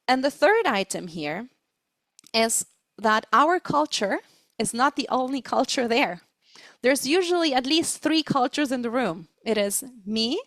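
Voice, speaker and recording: A woman giving an explanatory webinar lecture as a trainer, speaking deliberately and stressing her key words. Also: fairly high pitch, a bit bright, dry recording. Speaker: webinar presenter, interpreting trainer.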